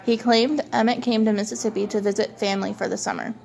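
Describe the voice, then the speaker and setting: A woman's voice: fairly high pitch, fairly even intonation, quick pace, creaky, a little mellow. A woman reading a scripted news report aloud as one of two presenters.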